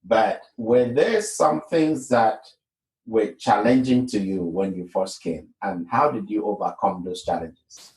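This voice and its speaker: Low-voiced podcast host in echoey room. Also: male voice, unhurried, darkish tone. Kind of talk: podcast interview. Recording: echoey room, distant mic.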